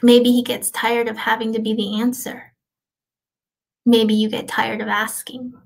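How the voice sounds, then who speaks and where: Middle-aged woman, creaky dramatic reader. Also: a little high, level tone, measured pace, rough recording. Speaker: actor performing a literary piece. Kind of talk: dramatic monologue reading. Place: indoors, small plain room.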